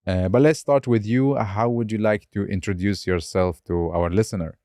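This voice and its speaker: Man, podcast host, very low voice, mellow, dry close sound, encouraging backchannels. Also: normal speed, no room sound. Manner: encouraging, many short "mm" and "yeah" backchannels. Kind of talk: podcast interview.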